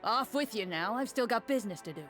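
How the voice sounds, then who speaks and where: A surly, stingy merchant, gruff and dismissive, who haggles and brushes people off. His voice sits somewhat high, with soft game music behind it. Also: lively intonation, fast talker. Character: stingy, surly middle-aged male city merchant. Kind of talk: video game dialogue.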